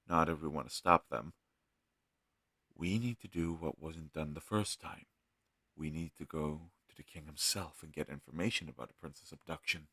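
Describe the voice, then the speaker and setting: A man playing an earnest, gentle healer mage in a fantasy audio roleplay, talking hesitantly and thoughtfully to a travel companion. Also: a bass voice, husky, no echo, clean studio sound, heavily acted. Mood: purposeful, explaining. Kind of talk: scripted fantasy audio roleplay addressed to the listener.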